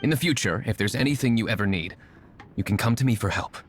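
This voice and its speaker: A man's voice: on the low side, expressive, fast talker, noisy background, natural voice. An actor playing a curt, aloof young nobleman and secret vigilante in a video game, speaking drily and formally. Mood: grateful. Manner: dry, formal, terse.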